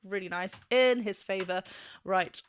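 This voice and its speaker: A woman's voice: medium pitch, measured pace, over loud music. A woman vocal coach reacting to and analysing a song, enthusiastic and laughing often, singing bits to demonstrate.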